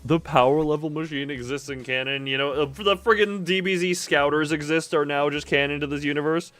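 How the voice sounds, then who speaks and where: A man's voice: fairly high pitch, fairly quick, fairly bright tone, faint music behind. A man livestreaming a game, chatting casually and jokingly with his chat in a rambling way.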